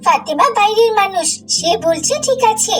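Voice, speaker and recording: Woman voicing a whiny high-pitched sulky little girl. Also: expressive, moderate pace, distant mic, faint music behind. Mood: indignant. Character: sulky, resentful nine-year-old stepsister girl in cartoon.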